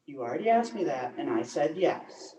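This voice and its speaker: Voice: medium pitch, very animated, moderate pace, dark tone, natural voice. A teen performer plays a stubborn mime stuck in an imaginary box. The delivery is earnest and theatrical. It is heard with strong room echo, some way from the microphone. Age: teenager. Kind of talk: school one-act comedy stage acting. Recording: echoey, distant school play recording.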